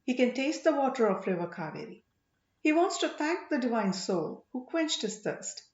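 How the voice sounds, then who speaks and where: A woman narrating an audiobook story dramatically, in measured short phrases with emphasis. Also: on the high side, sing-song, a little mellow. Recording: echoey room, slightly muffled sound.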